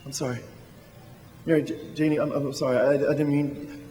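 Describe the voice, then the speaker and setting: A man's voice: on the high side, level tone, normal speed, mellow, a little hiss or hum, close to an everyday voice. An actor playing a frantic, short-tempered husband and father in despair, stammering through a stage radio drama. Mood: ashamed, subdued. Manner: stammering, agitated. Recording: reverberant hall with music behind.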